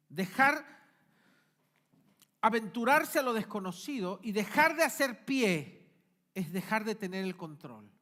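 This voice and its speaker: A male pastor, preaching a sermon emphatically, swinging between forceful peaks and quiet asides. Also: high-pitched. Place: church.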